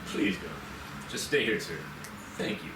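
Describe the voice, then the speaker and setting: A man's voice: low voice, expressive, takes it slowly. Man playing a nervous middle-aged groundsman, distant and echoey. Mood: uneasy, firm. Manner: guarded, hesitant, flustered. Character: nervous, evasive 55-year-old male manor groundsman. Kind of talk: amateur screenplay table read. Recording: echoey, distant mic, clear noise.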